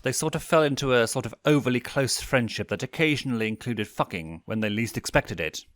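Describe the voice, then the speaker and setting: A man reading fan fiction as an expressive, dramatic narrator with a sardonic edge. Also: mid-range voice, no echo, studio quality.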